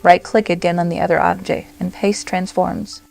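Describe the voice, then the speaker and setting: A woman narrating a software tutorial step by step, in short, simple instructional phrases. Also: fairly low pitch, little pitch movement, normal speed, vocal fry.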